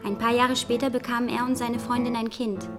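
A woman's voice: a little high, level tone, fairly quick, over music, close to an everyday voice. An actress playing a frank, resentful young woman informant in a German radio crime drama, direct and insistent. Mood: earnest. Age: young adult.

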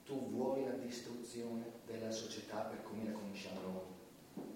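An actor playing a stern, sardonic judge who interrogates with measured authority, in a theatrical stage style. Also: male voice, fairly low pitch, lightly acted. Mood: probing, controlled. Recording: echoey distant stage recording, faint noise.

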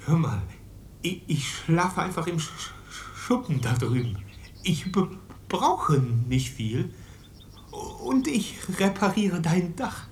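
Heavily stammering, pleading runaway. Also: female voice, lowish voice, big pitch swings, darkish tone, slight background noise, heavily acted. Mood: anxious pleading. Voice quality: heavy stammer. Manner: halting, pleading. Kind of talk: German radio drama acting.

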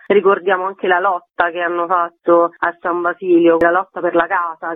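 A woman's voice: fairly low pitch, dry recording. A woman speaking as a guest festival curator in a radio interview, explaining her project. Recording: tinny phone line on radio.

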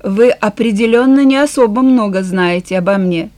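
A woman's voice: medium pitch, normal speed, warm tone, natural voice. Woman reading as a weary, frank farmer nearing sixty. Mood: resigned, frank. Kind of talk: audiobook character dialogue.

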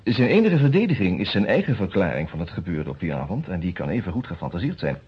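A man acting a formal, cautious lawyer in a radio detective play, speaking in measured, businesslike phrases with hesitant pauses. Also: deep voice, very animated, breathy edge, heavily acted. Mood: firm, formal. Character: formal, cautious male defence lawyer who also narrates. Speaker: actor in a radio detective serial. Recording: dull, muffled old radio recording.

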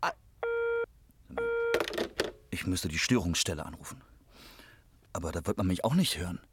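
An actor playing a flustered, excitable ordinary man who stammers, in a German radio drama. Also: deep voice, normal speed, slight background noise. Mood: puzzled, flustered. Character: flustered, excitable everyman Herr Baumann.

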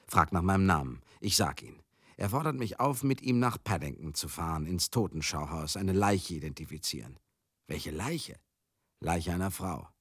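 An actor in a radio drama monologue, playing a garrulous, hard-drinking older showman who grieves as he recalls a lost friend, husky, halting and confiding. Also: sits low, expressive, a bit bright, no echo, clean studio sound, exaggerated voice. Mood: agitated recounting. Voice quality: husky, gravelly. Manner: halting, rambling, confiding, asks listeners questions.

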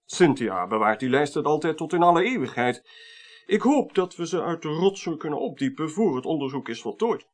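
Chatty, high-pitched, lively male official. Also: a little fast. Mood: affable, wry. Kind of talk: 1980s Dutch radio drama acting.

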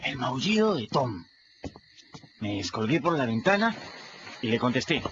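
An actor playing a plucky, rough-edged teenage river boy who tells his own story in a chatty, folksy way, talking straight to the listeners. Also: medium pitch, big pitch swings, unhurried, noisy background. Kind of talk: first-person radio play narration. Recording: dull radio recording with music behind.

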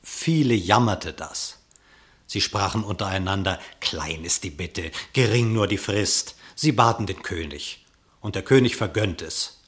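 A man narrating a literary radio play in verse, with measured, dramatic storytelling. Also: deep voice, a bit bright.

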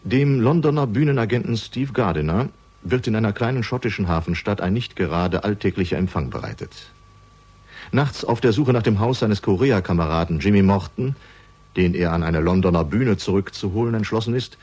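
A man reading scripted narration for a crime radio drama, measured and dramatic, with deliberate pauses. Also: on the low side, a little mellow, breathy edge.

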